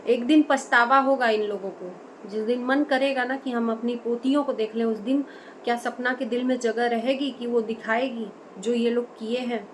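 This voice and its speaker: High-pitched woman giving emphatic commentary, noisy recording. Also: steady tone, moderate pace. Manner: emphatic, full of rhetorical questions. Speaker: online commentator. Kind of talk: opinionated vlog commentary on a family dispute. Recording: noticeable background noise.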